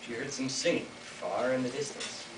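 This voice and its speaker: A man's voice: mid-range voice, moderate pace. A teenage boy narrating a fairy tale in a school reader's theater, playfully joking with the audience. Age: teens. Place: school classroom or hall. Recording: echoey room from far off, noisy.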